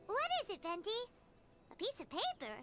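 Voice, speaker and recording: Anime game fairy mascot: tiny, bossy, excitable, extremely high and swooping, over loud music. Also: female voice, normal speed, full character voice. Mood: curious. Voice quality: squeaky, childlike. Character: small childlike fairy companion, bossy, excitable, scolding.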